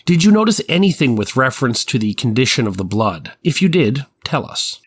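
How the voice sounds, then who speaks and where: A man's voice: mid-range voice, very animated, brisk, breathy edge. A man playing an 1890s prosecuting attorney, putting precise, formal, methodical questions to a witness in a dramatized trial reading. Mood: probing, formal. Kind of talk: courtroom transcript dramatized reading.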